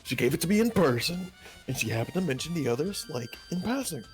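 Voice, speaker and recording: A man's voice: on the high side, big pitch swings, fast, poor audio. Guy doing a goofy evasive game slacker, stammers a lot, bouncy intonation, music behind. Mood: evasive, nervous. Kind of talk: amateur video game character dub.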